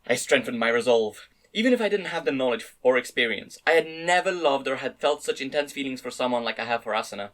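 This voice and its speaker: A man's voice: medium pitch, animated, fast, hardly any pauses, a bit bright. A man narrating a first-person story as an amateur dramatic reading. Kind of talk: dramatic reading of fan-read light novel narration.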